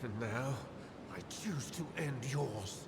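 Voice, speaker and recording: A man acting a fervent, obsessed young acolyte in a video game, speaking with dreamy, halting reverence. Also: lively intonation, vocal fry. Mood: defiant fervour. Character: obsessed young acolyte, crystal-mad killer, fervent and haunted. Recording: long cavernous reverb, loud rumbling noise.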